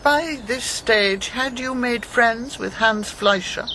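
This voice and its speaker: An actress playing a grave, steely older former wartime agent in a radio drama, speaking in a measured, probing way. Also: female voice, a little high, sharp tone, heavily acted. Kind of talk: naturalistic radio drama acting. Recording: birdsong and ambient background noise.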